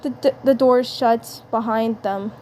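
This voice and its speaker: A woman narrating a fan fiction script haltingly, often repeating words and phrases. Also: on the high side, fairly even intonation, darkish tone. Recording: clear background noise.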